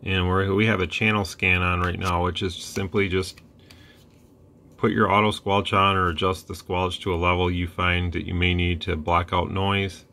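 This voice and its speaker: Low steady-voiced guy showing off a cb radio on his bench, laid back, chatty asides. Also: moderate pace. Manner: relaxed, plain-spoken, rambling asides. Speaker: radio repair channel host. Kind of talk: casual product demo. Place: radio workbench indoors.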